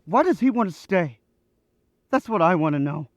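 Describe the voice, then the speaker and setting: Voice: sing-song, normal speed, no room sound, studio quality, natural voice. A voice actor playing a drunk, hysterical young man. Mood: drunk defiant. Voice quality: shrill, near crying. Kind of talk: 1940s radio acting.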